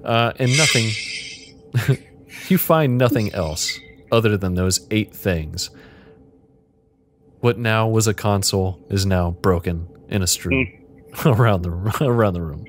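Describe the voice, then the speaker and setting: A man's voice: lowish voice, slow, long pauses. A man hosting as game master, narrating an improvised interactive fiction game and often chuckling as he goes. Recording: clearly noisy background.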